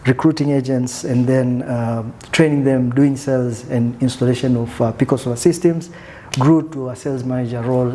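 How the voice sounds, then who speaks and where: Soft-spoken man, mellow voice. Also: mid-range voice, slight background noise. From Tanzania. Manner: soft-spoken, reflective, with frequent fillers. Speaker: guest, country manager. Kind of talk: conversational interview.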